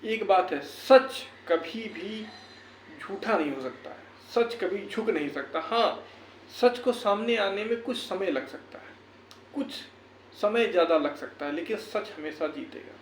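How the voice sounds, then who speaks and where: High-pitched man, echoey room. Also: lively intonation, unhurried. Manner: earnest, exhortative, repeats phrases for emphasis. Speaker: YouTuber and educator. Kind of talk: motivational update talk to viewers. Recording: echoey room, faint background noise.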